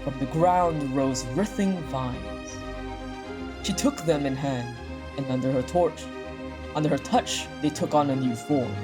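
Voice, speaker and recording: Solemn narrator done by a woman for a mythic visual novel, low and measured, dramatic, music underneath.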